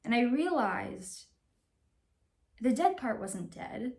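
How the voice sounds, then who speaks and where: A young woman playing a wistful, candid actress confiding in her divorce lawyer in a halting, rambling dramatic monologue. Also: fairly high pitch, very animated, darkish tone, heavily acted. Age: young adult. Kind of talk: naturalistic dramatic film monologue.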